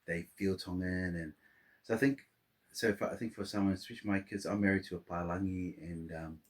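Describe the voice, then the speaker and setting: A man's voice: low voice, fairly even intonation, fairly quick. A middle-aged man from Samoa, a podcast guest chatting casually and rambling with many restarts. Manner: rambling, restarts and fillers, warm laughter-prone storytelling. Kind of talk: casual interview chat.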